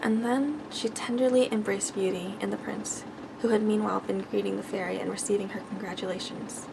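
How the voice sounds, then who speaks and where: A soft-spoken woman reading a bedtime story in ASMR style, stumbling now and then over the words. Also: mid-range voice, steady tone, a little fast, creaky, background noise.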